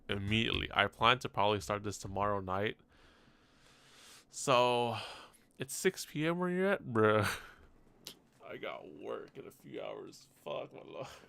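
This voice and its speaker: A man's voice: fairly low pitch, gravelly, a bit bright, clear, resonant tone, dry recording. A man live-streaming a game, reacting and chatting casually in slangy, sweary, exasperated gripes into a close mic.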